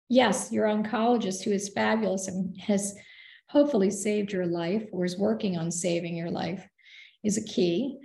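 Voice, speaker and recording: A woman speaks in a gravelly, mellow tone, losing her voice and clearing her throat often. She talks earnestly and steadily, and her voice rings out in a roomy, echoing space. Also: mid-range voice. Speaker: guest. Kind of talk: conversational interview.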